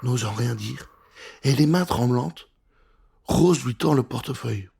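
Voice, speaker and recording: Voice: medium pitch, unhurried, vocal fry, mellow, breathy edge. A storyteller reading a scripted children's adventure in a theatrical, suspenseful way.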